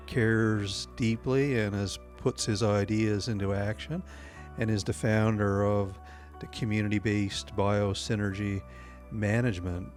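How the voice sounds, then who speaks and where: A fairly low-voiced man introduces his guest on a podcast. He talks hesitantly, filling his pauses with uhs, while faint guitar music plays behind him. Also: darkish tone. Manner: hesitant, with many filler pauses. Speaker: podcast host and course instructor.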